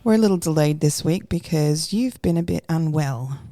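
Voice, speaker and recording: A woman's voice: low-pitched, normal speed, dark tone, no room sound, studio quality. A woman co-hosting a faith podcast, asking questions in a friendly way. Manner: friendly, prompting questions, reads definitions aloud. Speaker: podcast co-host. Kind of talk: casual faith podcast chat.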